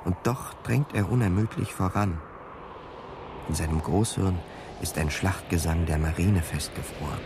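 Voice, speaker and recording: Deep-voiced man narrating over noisy ambience. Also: moderate pace. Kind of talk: dramatic scripted literary narration. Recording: clear ambient noise behind studio narration. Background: ambient noise.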